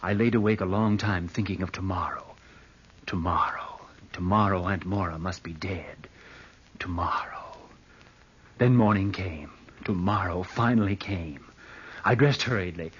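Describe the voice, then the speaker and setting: An actor playing a murderous, imperious young heir in a theatrical 1940s radio drama style, brooding and curt. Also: male voice, low-pitched, measured pace, husky. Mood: feverish anticipation. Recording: muffled old radio drama recording.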